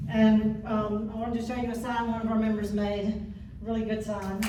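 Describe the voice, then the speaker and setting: A woman's voice: medium pitch, little pitch movement, moderate pace, background noise. A woman hosting a community meeting, introducing speakers with frequent hesitant fillers. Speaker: meeting organizer. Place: meeting room. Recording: echoey room, distant mic.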